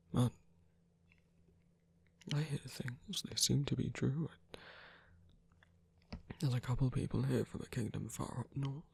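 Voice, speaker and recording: A man playing a weary, soft-spoken rebel villain and lover in an intimate ASMR roleplay, speaking haltingly in a breathy near-whisper. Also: mid-range voice. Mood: earnest, musing. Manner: hesitant, halting, murmured with pauses.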